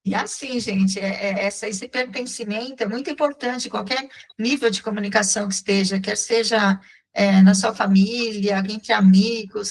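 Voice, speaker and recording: A woman with a Brazilian accent hosting a radio talk show, chatting casually with frequent hesitations and fillers. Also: medium pitch. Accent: Brazilian Portuguese. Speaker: radio host.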